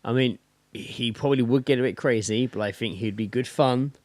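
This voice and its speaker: A man's voice: medium pitch, brisk, a little mellow, dry recording. A man co-hosting a podcast in casual, informal banter. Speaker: podcast co-host.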